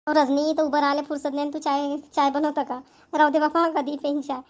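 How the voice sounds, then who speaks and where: Woman playing high, fast-talking Marathi village mother. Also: level tone, lightly acted. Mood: brisk, insistent. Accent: Vidarbha Marathi. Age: middle-aged. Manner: hurried, repetitive, homely. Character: busy village mother rushing to invite neighbours to engagement. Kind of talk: amateur cartoon comedy dialogue.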